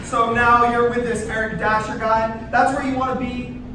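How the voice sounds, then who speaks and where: A man's voice: extremely high pitch, level tone, normal speed. An actor playing an exasperated, sarcastic young man at his own birthday party in a staged comedy reading. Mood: indignant. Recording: echoey distant room recording, hissy noise.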